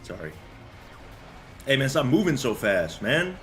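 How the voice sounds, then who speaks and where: A man's voice: mid-range voice, sing-song, a little slow, fairly bright tone, faint music behind. A young man doing casual, slangy game commentary and chatting with viewers in a joking, rambling way. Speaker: game streamer. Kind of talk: casual gameplay commentary and chat.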